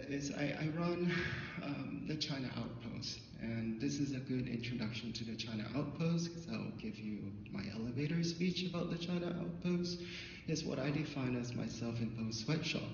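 A middle-aged man from Thailand whose first language is Thai, telling a personal story at a symposium. He talks through a handheld mic in a dim hall, haltingly, with many fillers and restarts. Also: mid-range voice, vocal fry, slight background noise. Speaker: artist presenter. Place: dim hall with projector screen. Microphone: handheld microphone, filmed from afar. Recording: distant, echoey, dull hall audio.

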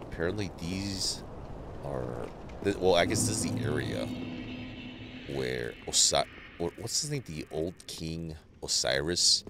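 A man streaming a game live, chatting casually with viewers and greeting them by name, sounding a little stuffy from allergies, on a boom mic. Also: measured pace, over music. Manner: greets chat viewers, relaxed banter. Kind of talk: casual live gameplay chat.

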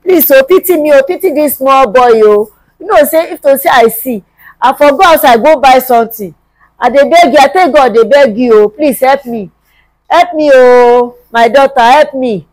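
A woman's voice: high-pitched, dry recording, natural voice, low-quality recording. A woman acting a hungry, long-suffering mother in a film drama, pleading repetitively and plaintively with a Nigerian accent. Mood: plaintive despair. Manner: plaintive, repetitive begging with drawn-out wails.

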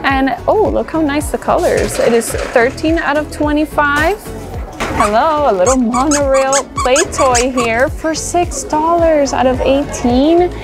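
A chatty woman with a high, light voice walks through a store, gushing over what she finds and correcting herself as she goes. Music plays clearly in the background. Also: measured pace. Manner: chatty, enthusiastic, thinks aloud while browsing. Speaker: shopping vlogger. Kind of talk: casual merchandise tour. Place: discount store.

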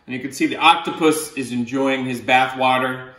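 A man's voice: medium pitch, fairly even intonation, normal speed, pushed and bright. A middle-aged man, a children's author, reading a picture book aloud expressively, with explanatory asides, in a living room. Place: small living room, indoors on a sofa. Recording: roomy living-room echo.

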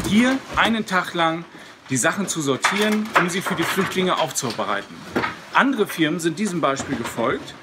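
A man giving a news report on location. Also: on the high side. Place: donation sorting station. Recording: loud noise of a busy sorting hall.

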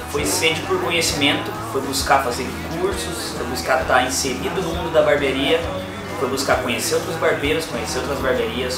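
Man in his thirties, earnest barber testimonial, echoey room, slightly distant mic. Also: mid-range voice. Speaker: barber, barbershop owner and brand ambassador. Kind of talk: personal testimonial to camera. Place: indoors, small barbershop. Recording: roomy, distant mic, clear background music. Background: music.

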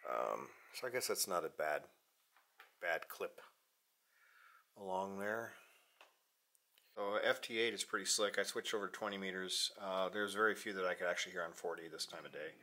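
Low-voiced American man, offhand. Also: moderate pace, long pauses. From United States. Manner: offhand, half talking to himself. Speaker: vlogger, amateur radio hobbyist. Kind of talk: casual vlog narration while operating radio. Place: unfinished basement with metal shelving. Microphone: handheld camera mic at arm's length. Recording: steady background noise, handheld camera audio.

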